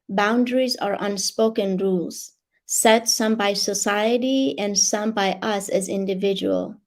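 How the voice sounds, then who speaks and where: A raspy-voiced woman from Iran, sharing as a guest author over an online video call. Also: mid-range voice, a little mellow. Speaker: guest author and life coach. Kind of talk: personal sharing and reading aloud.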